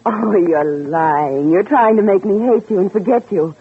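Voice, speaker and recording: An actress playing a desperate, clinging jilted mistress with a vengeful streak, in melodramatic 1940s radio drama style. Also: female voice, mid-range voice, measured pace, slight background noise, close to an everyday voice. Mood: pleading. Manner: melodramatic, pleading. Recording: muffled, hazy vintage radio recording.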